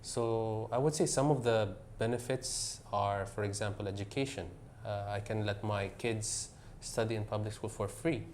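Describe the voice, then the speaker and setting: Man, middle-aged, from the UAE, interview guest, hesitant, filler-heavy, low pitch, faint background noise. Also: moderate pace, few pauses, a little mellow. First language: Arabic. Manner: hesitant, many fillers, mixes English and Tagalog. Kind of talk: casual interview answers.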